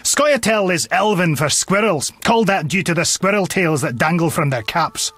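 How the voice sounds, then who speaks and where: A bitter dwarf townsman from a fantasy game, husky and pitched rather high. He grumbles sarcastically with dark humour, and his pitch swings up and down in a lively way. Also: moderate pace, dry recording, exaggerated voice. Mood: suspicious, scornful. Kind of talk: video game dialogue acting.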